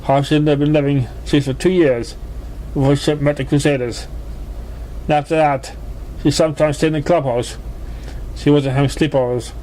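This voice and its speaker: A man narrating a scripted story as an amateur reader, halting and stumbling over words. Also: a little high, steady tone, warm tone, slight background noise, poor audio. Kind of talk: scripted fan fiction narration.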